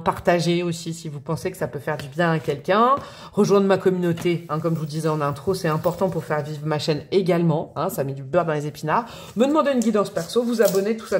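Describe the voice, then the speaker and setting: A woman doing a casual, chatty tarot reading to camera, with expressive, playful emphasis. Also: lowish voice. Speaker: tarot reader.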